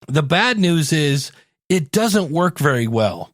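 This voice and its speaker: A man hosting a solo podcast, giving advice in a conversational, animated way and acting out little quotes to make his points. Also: on the high side, slow, no echo, clean studio sound. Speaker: podcast host and coach.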